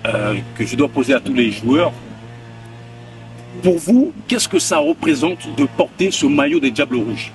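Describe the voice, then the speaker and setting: Young male interviewer with a lively pitch. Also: measured pace, far from the mic, over music. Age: young adult. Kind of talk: polite formal interview questions. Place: outdoors by a hotel pool.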